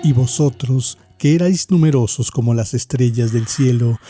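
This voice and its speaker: Guy narrating a horror story, solemn n measured, mid voice, music behind. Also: medium pitch. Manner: measured, solemn, dramatic pauses.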